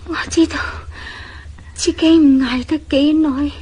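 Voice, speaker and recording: A woman's voice: sits high, steady tone, a little slow, mellow. A woman with a Hong Kong Cantonese accent playing a frail, dying daughter-in-law in a radio drama, weak, sorrowful and resigned.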